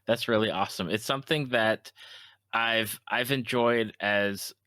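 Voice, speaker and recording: Low, bright-voiced man, halting and earnest. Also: dry recording. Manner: halting, repeats words, earnest. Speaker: podcast host, interviewer. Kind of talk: casual interview chat.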